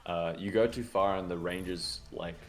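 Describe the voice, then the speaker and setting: A man's voice: sits low, steady tone, normal speed, faint music behind. A man explaining his own gameplay video in casual chat, rambling with lots of likes and frequent laughs. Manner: rambling, filler-heavy with many likes, laughs often. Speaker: guest, speedrun video creator.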